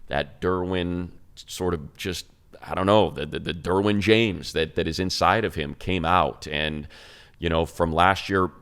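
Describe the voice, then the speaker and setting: A man's voice: low voice, steady tone, measured pace, fairly bright tone, clean tone. A man chatting casually and emphatically as a football show panelist, breaking down players and game film.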